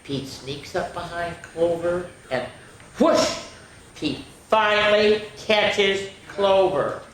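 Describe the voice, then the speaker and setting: A woman reads a picture book aloud for young children in a very echoey room. She is expressive and playful, with chatty comments to her listeners. Faint noise sits behind her voice. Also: fairly low pitch, slow. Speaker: children's story time host. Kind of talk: animated read-aloud of picture books with chatty asides.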